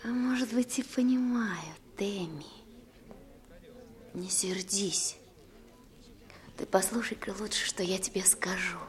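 An actress playing a brisk, knowing lighthouse woman in a 1960s radio play. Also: medium pitch, natural voice. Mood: soft, coaxing.

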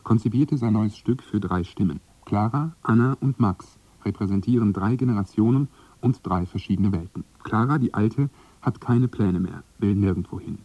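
A man's voice: fairly low pitch, moderate pace, husky, no room sound, recorded from a distance, muffled recording. A man giving a scripted introduction to a radio play as an announcer.

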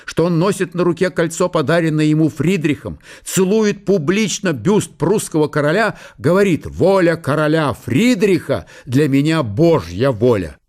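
A man's voice: on the high side, lively intonation, normal speed, few pauses, a little mellow, close to an everyday voice. A man narrating a historical audio play in a scripted, literary storytelling style.

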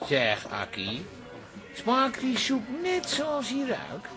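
Wry, lively sceptic, high voice. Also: male voice, takes it slowly, music in the background, full character voice. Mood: sceptical. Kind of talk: comic radio drama acting.

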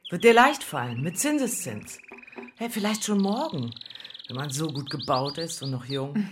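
An actress playing a worldly, bitter streetwalker in a radio play, speaking in a knowing, matter-of-fact, sardonic way. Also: female voice, sits low, big pitch swings, normal speed, soft background music. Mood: coaxing, knowing. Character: worldly, bitter streetwalker taking in a younger girl. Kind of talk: German radio play acting.

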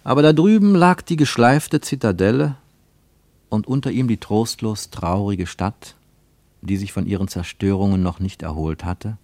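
A man reading scripted literary narration for radio in a measured, solemn manner. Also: fairly low pitch, a little mellow, dry recording.

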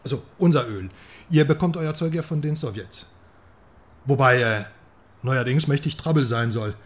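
An actor playing a cocky Western journalist in a radio drama, teasing and sarcastic, swinging between loud and hushed. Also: male voice, medium pitch, normal speed, natural voice. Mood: playful.

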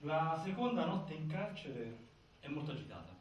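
A man playing a grave narrator of a prison-death inquiry, with deliberate, broken phrasing in a stage drama. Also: a little high, very animated, muffled recording. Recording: very echoey, distant, faint noise.

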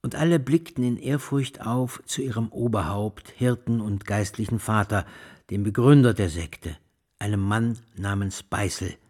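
An actor playing an erudite music lecturer in a radio drama, speaking in ornate, solemn, declamatory phrases with devout fervour. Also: male voice, fairly low pitch, unhurried, darkish tone. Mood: reverent storytelling.

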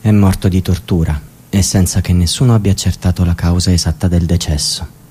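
A man giving grave, measured, indignant scripted radio narration. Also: deep voice, steady tone, dark tone.

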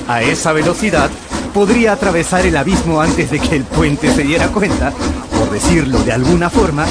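A man's voice: on the high side, moderate pace. A man narrating a radio drama in a theatrical, scripted storytelling style. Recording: music under the voice.

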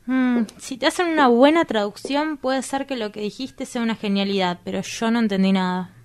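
Radio drama: actress as sardonic, judgmental Argentine woman, higher-pitched, curious, questioning with dry disdain. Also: moderate pace, smooth, natural voice. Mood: disdainful.

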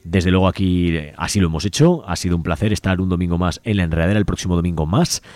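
A man's voice: sits low, fast, a little mellow, no room sound. A man with an accent from Spain, hosting a community radio show and reading out event listings. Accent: Spanish from Spain.

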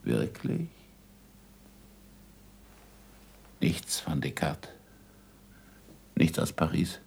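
A man acting in a German radio play as a weary, wry old scholar with a breathy, gravelly voice and a dry, deliberate delivery. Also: rumbling low, darkish tone, heavily acted. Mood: guarded, dry. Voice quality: gravelly, breathy half-whisper.

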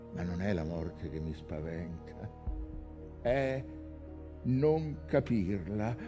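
An actor playing an anguished, defiant shipwrecked whaling captain in a theatrical monologue, delivered in halting phrases that swing between hushed dread and cries. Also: male voice, a little high. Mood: grim resolve. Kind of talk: dramatic theatrical monologue, literary stage acting. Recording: music under the voice.

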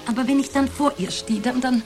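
A woman's voice: sits high, steady tone, a little fast, a little mellow, soft background music. An actress playing a hesitant, burdened therapy client in a radio drama, searching for her words in conversational speech. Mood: hesitant, reflective.

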